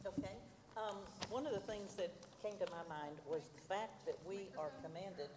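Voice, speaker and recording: A woman with a loud voice sharing a casual church testimony into a microphone, chatty and full of fillers. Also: medium pitch, moderate pace, noisy background. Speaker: church member sharing a reflection. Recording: echoey hall.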